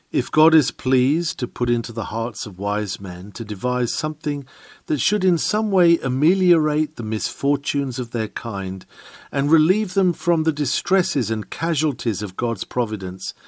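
A man's voice: mid-range voice, a little mellow, no room sound. A man reading an old-fashioned sermon aloud in a solemn, earnest, oratorical manner. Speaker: reader of a historical sermon.

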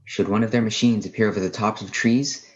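An actor playing a haunted astronomy professor, lone survivor of an alien invasion, narrating a radio play in a halting, brooding, literary way. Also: male voice, fairly low pitch, fairly even intonation, muffled recording, natural voice. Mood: grim, watchful. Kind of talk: dramatic first-person radio play narration.

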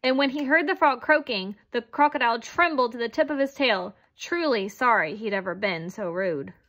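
A woman's voice: on the high side, moderate pace, a bit bright. A woman reading a children's picture book aloud as narrator. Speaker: storybook reader.

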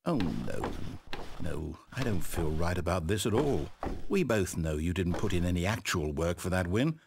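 A man's voice: mid-range voice, wildly swooping pitch, normal speed, background noise. A man with a British accent playing a fussy, whimsical, easily exasperated storyteller in a video game, conversational and theatrical. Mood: disapproving, uneasy. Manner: conversational, self-interrupting, dramatic. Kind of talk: theatrical scripted narration.

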